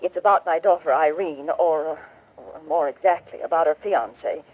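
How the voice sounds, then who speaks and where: A woman's voice: sits low, normal speed. A woman playing a worried, refined mother in a 1940s radio drama, speaking earnestly and hesitantly. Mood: anxious, polite. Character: worried, genteel middle-aged mother hiring a detective. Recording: dull old radio recording.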